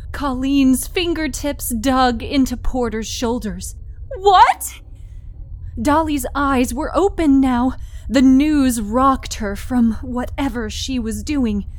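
A woman narrating dramatic horror fiction with deliberate, suspenseful phrasing. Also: on the high side, a little mellow.

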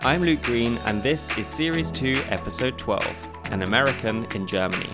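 British male podcast host, wry scripted narrator, mid-pitched voice. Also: animated, normal speed, over loud music. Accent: British English. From Britain.

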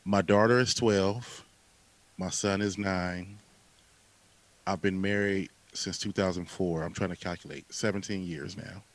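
A man in his late thirties in a casual podcast conversation, talking with animated emphasis, repeating phrases and using casual slang. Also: sits low, measured pace, low-quality recording. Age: late 30s.

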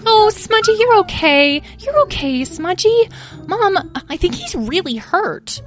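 A woman's voice: extremely high pitch, big pitch swings, measured pace, over music, pitched above the performer's own voice, exaggerated voice. A woman voicing a young female dragon in a children's story, a gushing big sister who coos in baby talk. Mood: fussing, cooing.

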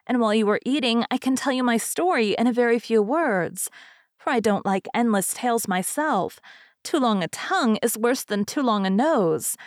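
A woman's voice: fairly high pitch, dry recording, clean studio sound, voice pushed up, natural voice. A woman voicing a vain, chatty old fairy princess in a storybook, gushing and rambling on tactlessly but good-naturedly. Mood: rambling, self-satisfied.

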